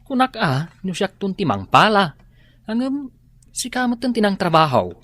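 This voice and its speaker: An actor with an Ilocano accent playing an easygoing village husband in a radio drama. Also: male voice, sits high, very animated, moderate pace, fairly bright tone, no echo, heavily acted. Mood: easygoing, chatty.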